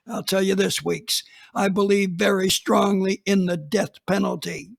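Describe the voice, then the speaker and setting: A man's voice: high-pitched, gravelly, heavily acted. An actor playing a blunt, unapologetic retired police chief in his eighties, recounting a case in a radio drama. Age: 80s. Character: gruff old male retired police chief.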